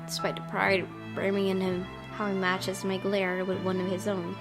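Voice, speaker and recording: A woman's voice: mid-range voice, completely flat delivery, normal speed. A woman reading a visual novel aloud as first-person dramatic narration. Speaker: gaming video host reading aloud. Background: game music.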